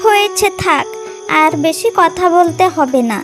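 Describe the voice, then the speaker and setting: A woman playing a spiteful, sharp-tongued younger sister-in-law in a cartoon, catty and fawning. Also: very high-pitched, lively intonation, unhurried. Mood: scolding. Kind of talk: exaggerated cartoon fairy-tale dialogue. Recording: music behind the voice.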